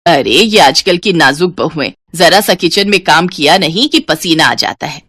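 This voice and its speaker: Casting: woman as older, grumbling mother-in-law, sarcastic and scolding, lowered pitch, cartoon morality tale. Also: normal speed, fairly bright tone, breathy edge, dry recording, clean studio sound. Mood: taunting. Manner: nagging, sarcastic. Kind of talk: Hindi moral story cartoon voice acting.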